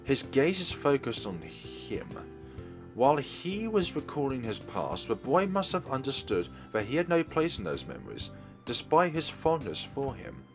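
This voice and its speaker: A man's voice: mid-range voice, huge pitch swings, moderate pace. A man hosting a let's play, reading a visual novel's text aloud and dropping casual asides between the lines. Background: faint game music.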